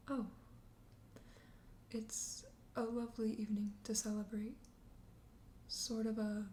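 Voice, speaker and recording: A woman voicing a teasing, flirtatious, self-assured vampire host in a soft, breathy ASMR whisper. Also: fairly high pitch. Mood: gentle, charming. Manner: teasing, slow, coaxing. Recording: roomy echo, faint background noise.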